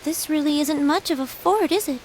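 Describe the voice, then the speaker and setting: A woman voicing a gentle, innocent teenage girl in a video game, soft and wondering. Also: high-pitched, brisk, a little hiss or hum, lightly acted.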